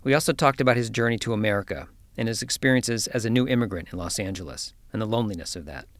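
A man hosting a science podcast, telling a story in a measured, scripted way before interviewing his guest. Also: on the low side, no room sound. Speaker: podcast host. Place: office.

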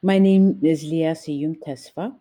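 A woman from Ethiopia, a nurse and family advocate, speaking in a measured, deliberate way as an interview guest. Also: sits low, steady tone, dark tone.

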